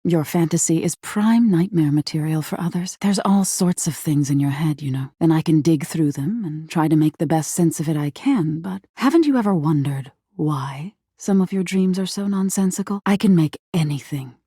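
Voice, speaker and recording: A woman voicing an eerie, thoughtful nightmare spirit in a video game, measured and breathy, often slipping toward a whisper. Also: low voice, mellow, dry recording, studio quality. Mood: musing, softly menacing. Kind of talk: scripted game character acting, intimate second-person storytelling.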